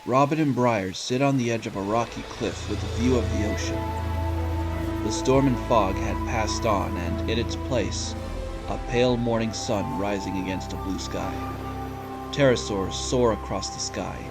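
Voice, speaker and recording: A man's voice: on the low side, normal speed. A man narrating a scripted audio drama as a storyteller. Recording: loud background music under narration.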